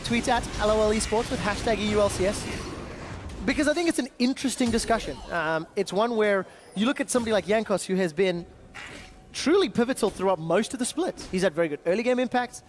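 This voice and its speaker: A man's voice: sits high, expressive, fast talker, a little hiss or hum. A man doing play-by-play commentary on an esports match, with dry banter between calls. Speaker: esports caster. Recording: music bed under commentary.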